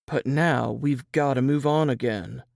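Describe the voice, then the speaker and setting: Man acting a gravelly, folksy young-country piano player. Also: medium pitch, unhurried, a little mellow, dry recording, clean studio sound. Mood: weary, apologetic. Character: protective, plain-spoken Southern cousin, a traveling piano player. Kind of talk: dramatized audiobook reading.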